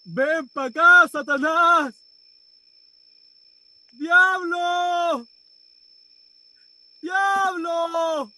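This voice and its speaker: A male actor as a despondent young Chilean musician in a radio play. His voice is notably high, his talk slangy and stubborn. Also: pushed and bright, heavily acted, rough recording. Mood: desperate shouting. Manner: colloquial, slangy. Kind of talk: naturalistic radio drama acting.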